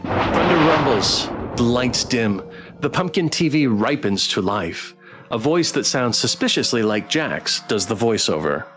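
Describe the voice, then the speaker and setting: A man's voice: medium pitch, very animated, a little slow, fairly bright tone. A man streaming a visual novel playthrough, reading and reacting in a theatrical storytelling way. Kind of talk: visual novel playthrough with read-aloud narration and chat reactions. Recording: music behind the voice. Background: music.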